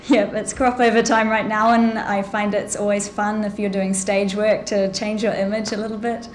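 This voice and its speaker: A woman's voice: mid-range voice, little pitch movement, normal speed, a little hiss or hum. New Zealand woman in an echoey room. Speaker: interview guest, musician. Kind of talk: casual interview.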